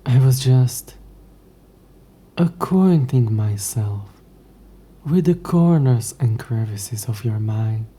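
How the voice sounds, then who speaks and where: A man's voice: medium pitch, expressive, slow, long pauses, mellow, breathy edge. A man playing an ancient, possessive demon in an intimate audio roleplay, speaking to the listener in a formal, wry, murmuring way. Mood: teasing, sly. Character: ancient possessive male demon, sardonic, curious, teasing.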